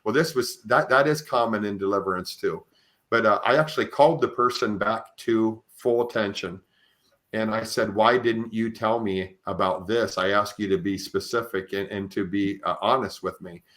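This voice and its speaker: A man's voice: on the low side, moderate pace, fairly bright tone. A man hosting an interview podcast, talking conversationally in an earnest, explanatory way with repeated starts to his phrases. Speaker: podcast host. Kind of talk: conversational interview, sharing religious experiences.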